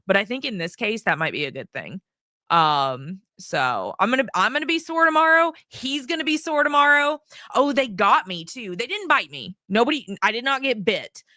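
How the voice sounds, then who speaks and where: A woman streamer chatting casually to her viewers, her voice hoarse from yelling, rambling with lots of ums and restarts. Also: a little high, expressive, normal speed, no room sound, studio quality. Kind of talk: casual livestream chat.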